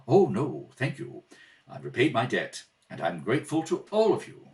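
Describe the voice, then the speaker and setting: A man acting out an earnest, formal gentleman butler with measured, sometimes hesitant speech in an amateur radio play read-through. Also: medium pitch, wildly swooping pitch, far from the mic. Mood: courteous, composed. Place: at a kitchen table at home.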